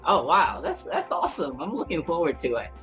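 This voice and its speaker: A man's voice: fairly high pitch, wildly swooping pitch, fairly quick, fairly bright tone, faint music behind, low-quality recording. A man hosting an interview, agreeable and encouraging, with short affirmations and fillers. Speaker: host, interviewer. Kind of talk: casual interview.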